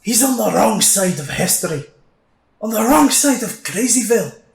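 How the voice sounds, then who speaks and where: A man playing a gruff, cocky older soldier in an audio drama, blustering and colloquial, recorded with an echo. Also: high voice, very animated, moderate pace, heavily acted. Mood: scornful. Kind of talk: fan audio drama acting. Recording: echoey, reverberant room sound.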